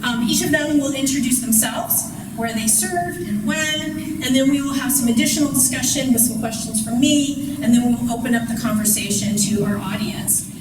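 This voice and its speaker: A woman's voice: a little high, normal speed. A woman moderating a museum panel, friendly and loose, with fillers. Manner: friendly, with fillers and small laughs. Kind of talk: hosting a public panel talk. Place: museum event hall. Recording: echoey hall from far off, loud noise.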